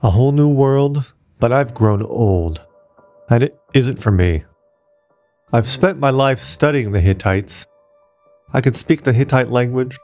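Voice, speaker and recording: A man reading the part of an old, kindly, resigned male archaeologist in an audiobook, gentle and reflective. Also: lowish voice.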